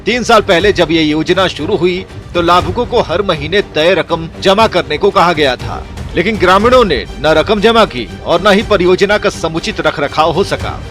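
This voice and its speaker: A man's voice: high voice, animated, normal speed, fairly bright tone, faint music behind. A man reading scripted television news reports as a voice-over presenter.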